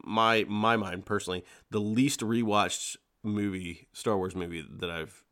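A man hosting a fan podcast in casual chat, talking excitably and repeating words for emphasis. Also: fairly low pitch, a little slow. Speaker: podcast host.

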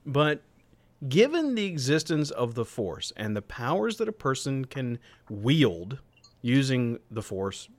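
A man's voice: medium pitch, sing-song, slow pace, no echo. A man co-hosting a podcast, casually debating a story in an emphatic, argumentative way. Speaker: podcast co-host.

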